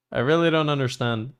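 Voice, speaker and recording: A man's voice: medium pitch, noisy background. A man streaming a video game, chatting casually as he comments on play. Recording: game music behind voice.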